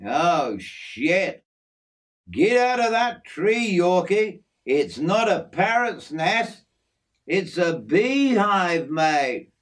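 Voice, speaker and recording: A man's voice: high-pitched, takes it slowly, voice pushed up. A man voicing a hearty, teasing bushman in a told story, blunt and matey. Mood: alarmed. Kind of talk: acted character in a narrated memoir.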